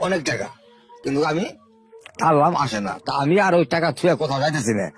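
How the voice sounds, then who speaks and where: An older man. He tells his life story to an interviewer at a brisk pace and often repeats his words. Faint music plays under his voice. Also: a little high, animated. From Bangladesh, Sherpur. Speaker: interview guest.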